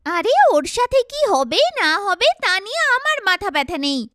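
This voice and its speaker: A woman voicing a vain, sharp-tongued young woman in a cartoon, with a blunt, complaining, mocking manner. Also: very high voice, sing-song, normal speed, no room sound, studio quality, heavily acted. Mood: dismissive. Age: young adult. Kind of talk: cartoon dialogue.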